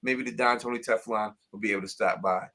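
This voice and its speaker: A man hosting a casual live stream, giving upbeat shout-outs to viewers and repeating words, his voice getting a little raspy. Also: lowish voice, fairly even intonation, fast talker.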